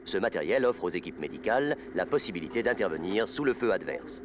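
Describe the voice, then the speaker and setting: A man narrating an instructional film in a formal, scripted, measured documentary style. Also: on the low side, expressive, noisy background. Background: music.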